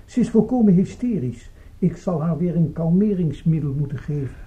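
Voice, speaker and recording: An actor in a radio drama playing a dour, compliant doctor in a criminal gang. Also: male voice, fairly high pitch, animated, moderate pace, dark tone, lo-fi sound, close to an everyday voice. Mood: grave. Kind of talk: Dutch radio drama acting.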